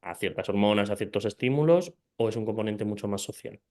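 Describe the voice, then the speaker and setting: A man's voice: fairly low pitch, brisk, a little mellow. A man hosting an interview, asking long questions in a hesitant way, searching for words. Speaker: interview host.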